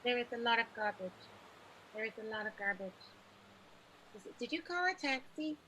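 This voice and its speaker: A woman's voice: on the high side, expressive, fairly quick, pushed and bright, slight background noise, poor audio. A woman playing an upset vaudeville sweetheart who insists she is happy, brittle and repetitive, in an improvised radio play. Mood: forced cheer. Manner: brittle, repetitive, sarcastically cheerful. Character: upset woman insisting through clenched cheer she's happy.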